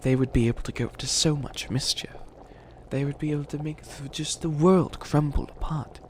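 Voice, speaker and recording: A dramatic, would-be-evil witch voiced by a man schemes with theatrical glee in a comedic ASMR roleplay. The voice is gravelly with plenty of fry and rambles along, over faint background noise. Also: a little high, fairly even intonation, moderate pace, close to an everyday voice. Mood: conspiratorial plotting. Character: bumbling, dramatic bunny witch who thinks himself evil.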